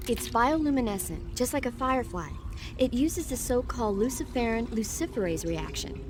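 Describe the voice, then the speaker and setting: A woman's voice: fairly high pitch, expressive, normal speed, background noise, close to an everyday voice. A woman playing an earnest, bookish young medic who gives precise explanations over the radio in a video game. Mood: patient, explaining.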